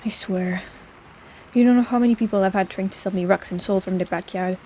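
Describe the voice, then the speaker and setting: A woman's voice: medium pitch, fairly quick, mellow, slight background noise, close to an everyday voice. A woman playing a sarcastic but caring apothecary in an intimate personal-attention audio roleplay, with a playful, chiding manner. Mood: sardonic, teasing.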